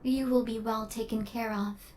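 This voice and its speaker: A woman voicing a coldly logical but tenderly possessive female killer robot in an ASMR roleplay, with formal, deadpan, machine-like phrasing. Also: fairly high pitch, normal speed, natural voice. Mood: matter-of-fact, caring.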